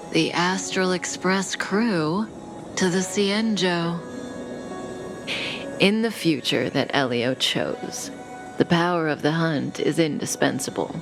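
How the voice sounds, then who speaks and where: An actress playing a composed, enigmatic young woman outlaw in a video game, unhurried and coolly teasing with soft phrase endings. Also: low-pitched. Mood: knowing, composed. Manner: unhurried, deliberate, coyly knowing. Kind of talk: video game character dialogue. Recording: studio voice over game music.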